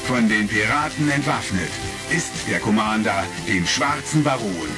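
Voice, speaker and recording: A man's voice: lowish voice, expressive, measured pace, loud music behind the voice. A man narrating a scripted retro sci-fi radio play.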